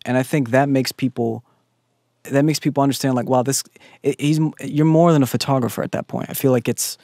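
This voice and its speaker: A man's voice: medium pitch, fairly even intonation, brisk, a little mellow, no room sound, clean studio sound. A man hosting an interview, asking earnest and enthusiastic questions in casual chat. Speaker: podcast host.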